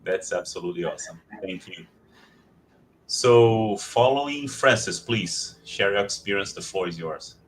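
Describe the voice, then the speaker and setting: Deep-voiced Brazilian moderator. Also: male voice, lively intonation, unhurried, low-quality recording. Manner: warm, repeats words, many uh fillers. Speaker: panel moderator. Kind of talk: online panel moderation.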